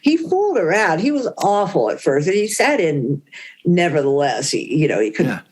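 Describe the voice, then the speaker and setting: A woman's voice: on the low side, sing-song, moderate pace, darkish tone, slightly rough. An older woman, a guest on an interview podcast, telling fond stories in a chatty, rambling way. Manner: chatty, fond storytelling with many "you know"s. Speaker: guest, widow of a puppeteer. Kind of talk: casual reminiscing conversation.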